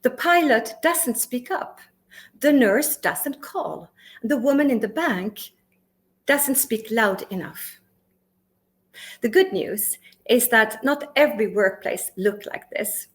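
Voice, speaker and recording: Animated woman giving a talk. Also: a little high. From Sweden. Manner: emphatic, asks the audience rhetorical questions; lists words one by one with pauses between them. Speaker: conference speaker and workplace consultant. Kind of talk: prepared conference talk.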